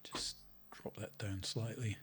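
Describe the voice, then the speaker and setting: A middle-aged man, a bit hoarse from a cold, explaining synth settings in a relaxed tutorial, full of ums and restarts. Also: lowish voice, slow. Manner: relaxed, talks while clicking, many ums and restarts. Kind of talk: casual screen-recorded software tutorial. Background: faint synth music.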